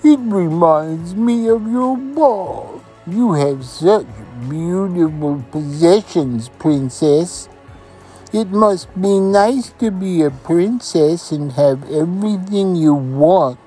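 A storyteller voicing a courteous male frog who speaks slowly and politely in a children's story. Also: medium pitch, expressive, dark tone, faint music behind, voice pushed up, low-quality recording. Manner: slow, drawn-out courteous speech. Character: polite, patient male frog with a rhyming warning. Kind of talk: storybook character voice.

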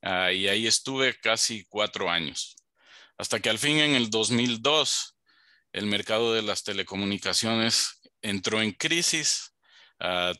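A man in his fifties from Ecuador, giving a measured panel introduction on a video call over a headset. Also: on the low side, bright, forceful tone, dry recording, clean studio sound. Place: home office on a video call.

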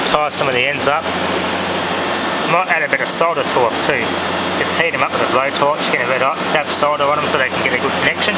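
A man with an Australian accent gives a casual hands-on demonstration in a halting, rambling way, often trailing off. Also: mid-range voice, very noisy. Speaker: hobbyist radio vlogger. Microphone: handheld camera's built-in microphone.

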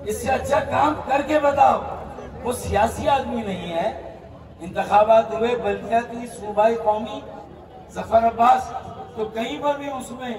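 A man's voice: very high-pitched, a little mellow. A man with a Pakistani Urdu accent giving a pleading, emphatic speech into a handheld microphone at an outdoor night gathering. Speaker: speaker at a public charity event. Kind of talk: impassioned public speech. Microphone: handheld microphone, filmed from a distance. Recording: echoey, distant outdoor sound.

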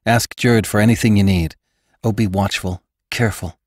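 A man's voice: on the low side, steady tone, normal speed, a little mellow, dry recording, studio quality, natural voice. A man voicing an anxious, pleading young woman rancher in a western audiobook, with emotional, exclamatory delivery. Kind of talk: audiobook character acting.

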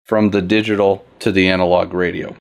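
A man's voice: deep voice, flat delivery, fairly quick, gravelly, dry recording. A man hosting an instructional tutorial, explaining things step by step in an informal, plain-spoken way. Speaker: tutorial host. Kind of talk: casual instructional demo, step-by-step.

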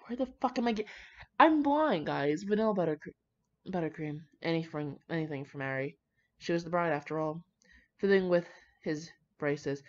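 A woman reading aloud casually with chatty asides, irreverent, slangy and sweary in her manner. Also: sits low. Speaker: host reading fan fiction aloud. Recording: dull, lo-fi recording.

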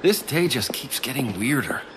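A man's voice: mid-range voice, very animated, normal speed, lightly acted. A man playing a wisecracking, easygoing superhero archer in a video game, flippant and wry. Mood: wry, joking. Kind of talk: cinematic video game acting. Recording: loud cinematic game music behind.

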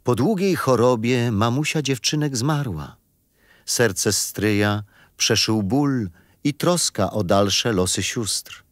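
An actor playing a kindly grandfather who tells his grandchildren bedtime stories gently and expressively in a children's radio play. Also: medium pitch, lively intonation, slow, no echo. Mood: solemn storytelling.

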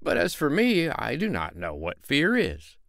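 A man voicing a boastful, easily frightened tinker in a storybook reading, shrill and excitable. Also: measured pace, creaky, dry recording, studio quality, heavily acted.

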